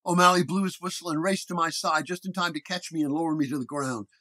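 A man's voice: on the high side, steady tone, quick pace, sharp tone. An actor playing a weary, wounded 1930s detective who dramatically narrates his case report. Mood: weary, reflective. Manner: dramatic first-person storytelling. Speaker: narrator-performer.

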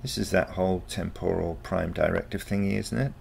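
A man's voice: low-pitched, fairly even intonation, a little fast, vocal fry, darkish tone, slight background noise, pitched above the performer's own voice. A man voicing a baffled, sceptical grandparent in a storybook, questioning and incredulous. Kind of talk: storybook character dialogue.

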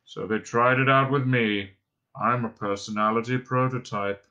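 A man voicing a gloomy, bored, self-pitying robot in a droning, muttering, sarcastic deadpan, reading from a storybook. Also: mid-range voice, moderate pace, highs cut off, close to an everyday voice. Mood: bitter sarcasm.

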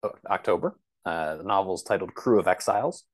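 A man's voice: low-pitched, brisk. A man giving conversational interview answers as a podcast guest, explaining things in a thoughtful, hesitant way. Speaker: podcast guest, author and computer science instructor. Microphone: video call.

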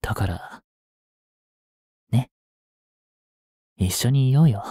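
A man in his twenties playing an obsessive, jealous young stalker in an intimate voice drama, coaxing softly with long pauses. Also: medium pitch, breathy edge, no echo, clean studio sound. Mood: pleading, eerily gentle. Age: 20s. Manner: soft, coaxing, brooding delivery with drawn-out pauses. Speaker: voice actor. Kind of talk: intimate Japanese voice drama, addressed to the listener.

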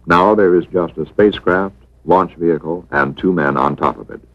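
A man reads scripted documentary narration in a measured, matter-of-fact manner. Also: low-pitched. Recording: dull vintage 1960s film soundtrack.

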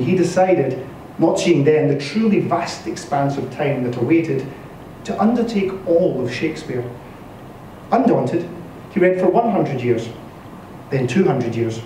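A man in his thirties reading a literary story aloud with expressive, dramatic delivery. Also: a little high. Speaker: story reader at a live literary event. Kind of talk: scripted literary narration read aloud. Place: bare-walled indoor venue, live reading. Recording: echoey room from a distance, faint noise.

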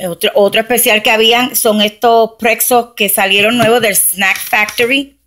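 A woman's voice: a little high, steady tone, moderate pace, a bit bright, a little hiss or hum. A woman from New Jersey, chatting casually through a grocery haul and explaining prices step by step, recorded on a handheld phone at home. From Camden, New Jersey, USA. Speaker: couponing vlogger. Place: home, beside a shelf of groceries, indoors. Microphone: handheld phone camera.

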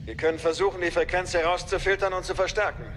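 An actor playing a dutiful, businesslike soldier delivering crisp military reports in a radio drama. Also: male voice, high voice, little pitch movement, fast talker, a bit bright, slight background noise. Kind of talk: German radio drama acting. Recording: long hall-like reverb.

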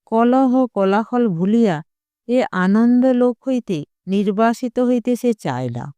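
A narrator reading a literary short story aloud in a measured, dramatic storytelling manner. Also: medium pitch, lively intonation, dark tone, clean tone, no echo. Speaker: audiobook narrator.

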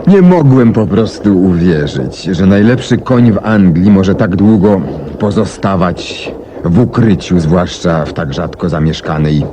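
A low male voice as a self-assured, brilliant detective in a 1970s radio drama. He speaks deliberately and articulately, with very varied melody and a courteous, methodical manner. The old recording carries faint noise. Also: animated. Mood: explanatory.

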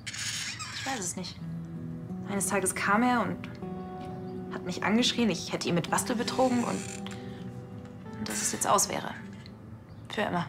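A woman's voice: mid-range voice, a little slow, vocal fry, long pauses, music in the background. An actress playing a lovelorn, indignant woman in a naturalistic TV crime drama. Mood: wounded, frank. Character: indignant, lovelorn woman recalling an old flame.

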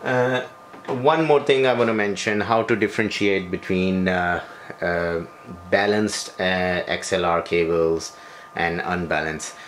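Low-pitched man, slow halting explainer. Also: steady tone, fairly bright tone. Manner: halting, broken phrasing with frequent hesitations. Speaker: tutorial presenter. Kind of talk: hands-on equipment tutorial, unscripted explanation. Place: small bedroom, indoors. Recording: home video with noticeable background noise.

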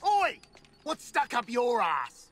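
A man voicing a cocky, crude thief in a video game, with a gravelly Australian accent. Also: very high voice, wildly swooping pitch, slow, a bit bright, heavily acted. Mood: irritated.

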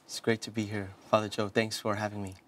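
A man in his thirties from South Korea speaks as a priest guest in a television studio. His voice sits slightly low, and he talks earnestly, often restarting phrases and filling pauses with "you know." A lapel mic picks him up clean and close. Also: level tone, fairly bright tone. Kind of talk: interview, telling his life story.

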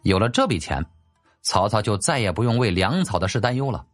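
A man's voice: on the low side, big pitch swings, fairly quick, faint music behind. A man narrating a scripted story as a dramatic audiobook storyteller.

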